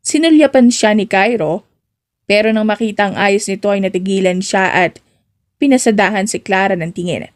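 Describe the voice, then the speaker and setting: A woman narrates a scripted romance story in audiobook style. Her voice sits at a medium pitch as she tells the tale in the third person. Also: normal speed.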